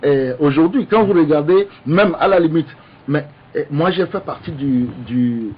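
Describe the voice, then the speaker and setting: A man, an opposition politician debating on the radio, halting with many restarts but insistent. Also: fairly high pitch, husky, muffled recording. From Togo.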